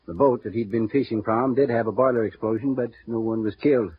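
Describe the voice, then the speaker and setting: Actor playing a guarded insurance executive. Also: male voice, medium pitch, lightly acted. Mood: confiding. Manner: measured, confiding, halting with small pauses. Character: smooth, guarded middle-aged male insurance executive. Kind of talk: 1950s radio drama acting. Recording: muffled vintage radio broadcast with faint hiss.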